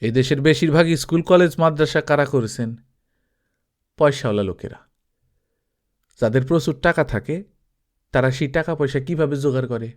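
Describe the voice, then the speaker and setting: A man voicing a wealthy, shady village trader in an audiobook story, brazen, calm and domineering, talking colloquially and justifying himself with rhetorical questions. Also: a little high, fairly quick, long pauses, close to an everyday voice. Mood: persuasive, self-assured.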